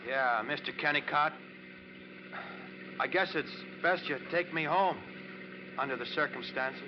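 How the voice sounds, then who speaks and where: An American man playing a quiet, reserved passenger in a vintage radio crime drama. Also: fairly high pitch, a little slow, close to an everyday voice. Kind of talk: 1940s-50s American radio drama acting. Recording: muffled, noisy old radio recording.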